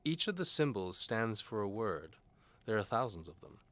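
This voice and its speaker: A man's voice: lowish voice, very animated, normal speed, bright, forceful tone, no echo, voice pushed up. A man playing a zealous, pompous older medieval bishop who speaks in a didactic, commanding way in an audio drama. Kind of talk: audio drama character acting.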